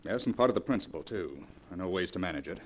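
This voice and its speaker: Very low male voice, scheming gambler nephew, insinuating, 1940s radio melodrama, slightly noisy old recording. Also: expressive, fast. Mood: pressing, desperate. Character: scheming, debt-ridden gambling nephew after his aunt's fortune. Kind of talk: 1940s radio mystery acting.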